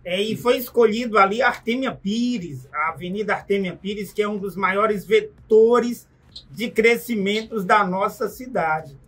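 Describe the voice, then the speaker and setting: Upbeat radio host, slightly off-mic. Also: male voice, high-pitched, unhurried, fairly bright tone, breathy edge, distant mic. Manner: upbeat, leading questions. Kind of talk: radio interview. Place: small radio studio.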